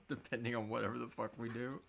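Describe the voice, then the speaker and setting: A man's voice: medium pitch, vocal fry, noisy background. Loose, joking man in his thirties. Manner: loose, joking, casual swearing. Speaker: podcast co-host answering listener questions. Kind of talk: casual live Q&A chat. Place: small indoor room.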